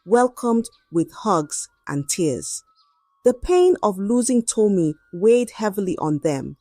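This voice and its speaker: A woman telling a dramatic scripted story in an expressive, measured and suspenseful way. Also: medium pitch, dry recording, studio quality.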